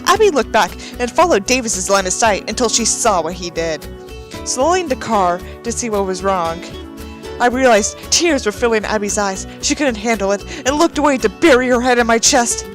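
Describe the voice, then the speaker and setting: Higher-voiced woman reading with incredulous asides. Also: normal speed, music in the background. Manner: dramatic, incredulous, expressive reactions. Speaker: reader and commentator of a badly written book. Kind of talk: mocking audiobook reading with sweary asides.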